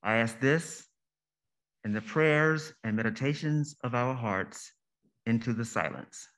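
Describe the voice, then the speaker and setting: A man leading a congregation in solemn prayer as a minister, with deliberate, measured phrasing and pauses. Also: medium pitch, a bit bright.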